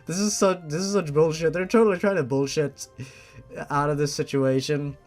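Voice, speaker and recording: A man's voice: a little high, fairly even intonation, normal speed, darkish tone, soft background music. A man reading fan fiction aloud as a host, with sarcastic, exasperated asides and casual swearing.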